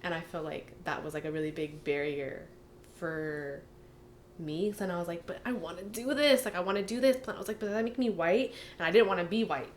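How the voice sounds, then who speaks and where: A young American woman talks casually and reflectively in a slightly low voice. Her phrasing is informal and peppered with "like" and "you know." There is noticeable background noise on the recording. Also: brisk. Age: young adult. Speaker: anonymous testimony participant.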